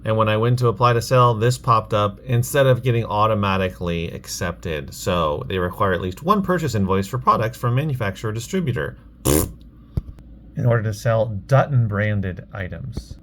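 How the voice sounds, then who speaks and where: A man's voice: fairly low pitch, lively intonation, normal speed, a bit bright. A man chatting casually in a vlog about his own work, offhand and thinking aloud as he goes. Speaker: online reseller and bookstore owner, vlog host. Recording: clear background noise.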